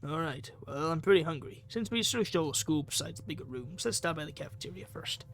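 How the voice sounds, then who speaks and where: A man's voice: a little high, expressive, brisk, fairly bright tone. A man reading a visual novel game aloud as dramatic narration, acting out lines and thoughts. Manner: acts out characters' lines and thoughts.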